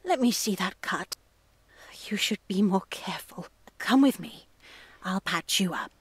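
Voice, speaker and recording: Husky, stern female caretaker. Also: mid-range voice, animated, unhurried, no echo. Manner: curt, scolding. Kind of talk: visual novel voice acting.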